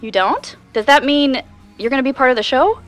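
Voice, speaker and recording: A woman's voice: sits high, animated, fairly quick, faint music behind. Curious woman podcast investigator. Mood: eager, curious. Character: curious, eager female radio host investigating mysteries. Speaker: podcast host and narrator. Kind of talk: audio drama dialogue and first-person narration.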